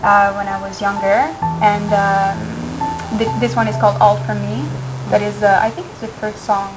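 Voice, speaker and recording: A young woman from Canada, a singer being interviewed, talking softly and hesitantly with many fillers. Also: medium pitch, level tone, normal speed, music in the background, poor audio. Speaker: singer guest. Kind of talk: casual interview chat.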